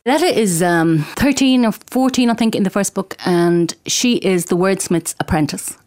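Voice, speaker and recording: A woman from Ireland, an author earnestly explaining her book with thoughtful pauses and hesitations. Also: medium pitch, a little mellow, dry recording, studio quality. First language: Irish.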